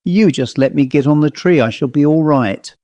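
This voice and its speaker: An indignant, bossy owl from an old storybook, acted out by a male reader, pitched on the high side. It speaks in a colloquial working-class English accent, dropping aitches and snapping out curt orders. The sound is close and dry. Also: normal speed, mellow, no echo, natural voice. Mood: impatient, bossy. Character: angry, bossy, dishevelled wet old-fashioned talking owl. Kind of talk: storybook character voice.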